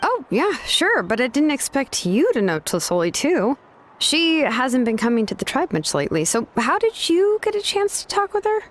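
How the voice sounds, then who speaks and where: A woman's voice: sits high, sing-song, normal speed, a little hiss or hum. An actress playing an easygoing, practical young woman in a video game, casual and matter-of-fact. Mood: casual, suggesting. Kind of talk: game character dialogue. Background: game music.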